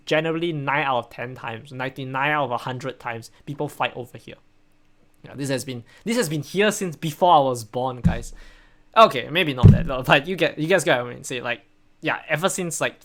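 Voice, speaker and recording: A man's voice: mid-range voice, fairly bright tone. A young man from Singapore with a Singaporean English accent, casually analyzing a game replay on a stream, rambling and checking in with "right?" a lot. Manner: rambling, repeats words, says "right?" often. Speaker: streamer and coach.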